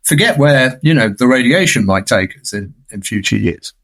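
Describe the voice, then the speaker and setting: A man speaks as a guest commentator on film. His voice sits at a middle pitch. He is conversational and discursive, stressing his points as he goes. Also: expressive, moderate pace, a bit bright.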